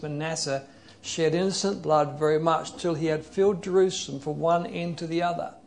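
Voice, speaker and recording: A male preacher with a gravelly, slightly raised voice delivers an earnest sermon. He explains the passage carefully and reads scripture aloud at a slow pace. Faint hiss sits under the recording. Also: a little high, a little mellow. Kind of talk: sermon with Bible reading and prayer.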